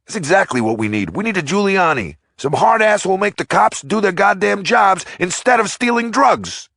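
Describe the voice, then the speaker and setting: A man acts out a furious, blunt working man yelling at a meeting. The voice is strained and high, with a gravelly rasp. It is recorded dry and close. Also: animated, moderate pace, dry recording, heavily acted. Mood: angry. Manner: angry, shouting. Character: angry mustached working man, blunt and loud.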